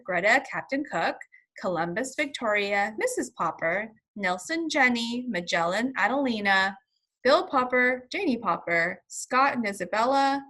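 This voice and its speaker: A woman reading a children's story aloud as a first-grade teacher, expressive and instructional, pausing to explain words simply. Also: fairly high pitch. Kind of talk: children's read-along with vocabulary explanations.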